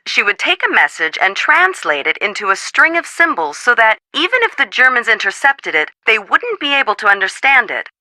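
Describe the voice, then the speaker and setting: A woman's voice: medium pitch, bright, forceful tone, no room sound. A woman playing an articulate, earnest historian in a video game, explaining things formally and precisely.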